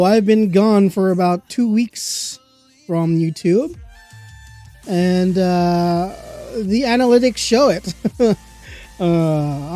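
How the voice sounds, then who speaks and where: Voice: medium pitch, mellow. A streamer greeting their viewers with upbeat, chatty small talk and frequent giggles, sounding stuffy from a cold. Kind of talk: casual livestream chat. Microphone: desktop streaming microphone.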